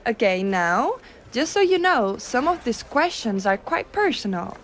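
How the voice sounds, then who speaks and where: A woman playing a gentle, doting, subtly dominant giantess secretary in an intimate ASMR roleplay, reassuring and softly teasing the listener. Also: on the high side, big pitch swings, measured pace, a little hiss or hum. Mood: helpful, lightly teasing. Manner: soft, reassuring, teasing, coaxing.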